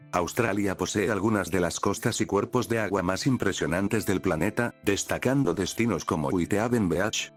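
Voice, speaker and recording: A man with a deep voice delivers scripted documentary narration. His delivery is measured and expository, in a formal register. Soft music plays behind him. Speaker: narrator of an explainer video. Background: background music.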